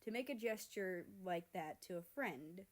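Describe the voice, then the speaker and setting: A woman reading fiction aloud as a narrator, in an expressive scripted storytelling style. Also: fairly low pitch, a little slow. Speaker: fan fiction reader and narrator.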